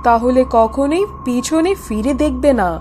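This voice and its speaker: Older village mother-in-law, voiced by a woman, high pitch, admonishing, cartoon fairy tale, music behind. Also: moderate pace, mellow, natural voice. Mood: warning. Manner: admonishing, instructive.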